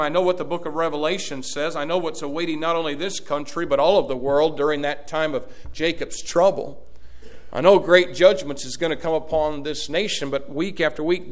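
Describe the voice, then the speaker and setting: A man's voice: fairly high pitch, fairly bright tone. A man, preaching a sermon as pastor, his delivery swelling and dropping in volume. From Indiana, USA.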